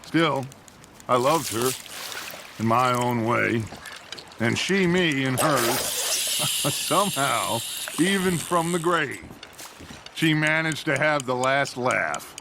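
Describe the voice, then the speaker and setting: Theatrical American outlaw leader, animated delivery. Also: male voice, mid-range voice, takes it slowly, vocal fry, long pauses. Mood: wistful. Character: charismatic, wistful middle-aged male outlaw gang leader. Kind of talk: dramatic Western video game acting. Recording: water and boat noise behind.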